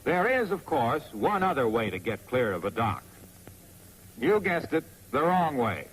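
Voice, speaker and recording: A man with an American accent narrates a 1940s instructional film in a wry, clearly phrased, scripted manner on a vintage soundtrack. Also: medium pitch, sing-song, measured pace, a bit bright, slight background noise, rough recording. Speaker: narrator of a military training film.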